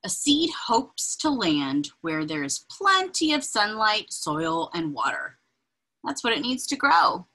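A woman reading a children's picture book aloud in an expressive, deliberate, breathy way, adding informal asides as she points things out. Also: medium pitch, low-quality recording. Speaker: read-aloud reader. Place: indoors, small room with bookshelves.

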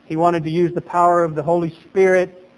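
A middle-aged man preaching a sermon as pastor at a lectern in a small chapel, animated and gesturing, his voice leaping up for emphasis. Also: high-pitched, fairly quick, smooth, rough recording.

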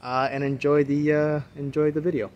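A young man vlogging while fishing from a boat outdoors, talking casually with lots of filler words, getting excited when he hooks a fish. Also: a little high, normal speed, muffled recording, slight background noise. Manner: excitable, laughing, many "uh" fillers. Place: outdoors on a small boat on a river.

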